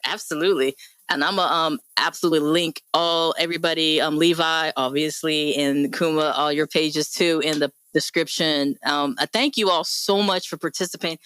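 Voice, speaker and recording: A woman's voice: low-pitched, fairly bright tone, dry recording. A woman hosting a casual discussion show, chatting in an effusive, appreciative way.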